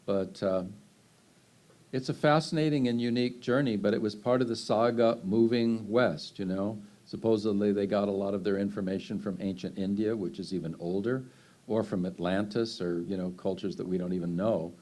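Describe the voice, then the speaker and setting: Low-voiced older man rambling. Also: fairly even intonation, normal speed, darkish tone. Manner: rambling, conversational, many fillers and restarts. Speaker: travel speaker answering audience questions. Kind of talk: unscripted Q&A answers.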